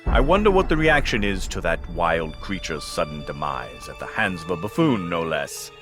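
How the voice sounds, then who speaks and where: An actor playing an ancient, scheming dark lord in an audio drama, with a pompous, formal and menacing delivery. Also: male voice, low-pitched, big pitch swings, moderate pace. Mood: brooding, scheming. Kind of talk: theatrical audio drama villain monologue. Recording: music behind the voice.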